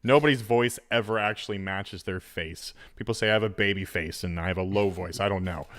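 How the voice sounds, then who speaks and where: A man's voice: fairly low pitch, fairly even intonation, brisk, a bit bright. A man in his thirties hosting a podcast over a video call, rambling and restarting his questions. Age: 30s. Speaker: podcast host. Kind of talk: casual interview chat.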